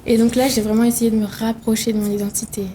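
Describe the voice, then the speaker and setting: A woman's voice: fairly high pitch, level tone, fast talker, warm tone, a little hiss or hum. A young woman from Réunion, a musician guest answering interview questions thoughtfully, with fillers and restarts. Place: TV studio.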